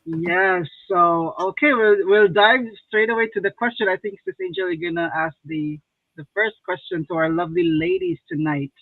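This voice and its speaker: A high-pitched man hosts a live online show, filling his pauses with plenty of ums and uhs. His voice is bone dry and squeezed through a narrow, phone-like line, so it sounds thin and tinny. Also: fairly even intonation, no echo. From Philippines. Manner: hesitant, many fillers. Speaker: host.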